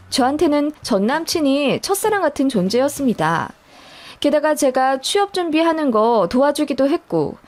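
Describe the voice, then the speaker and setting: A woman reading a scripted first-person personal story as a narrator, in a storytelling style. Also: sits high. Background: background music.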